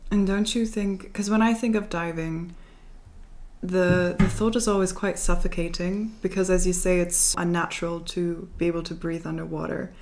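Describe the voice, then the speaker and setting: A woman hosts a podcast interview and puts polite questions to her guest. Her voice sits slightly low. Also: fairly even intonation, normal speed, darkish tone. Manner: polite, scripted opening questions. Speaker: podcast host.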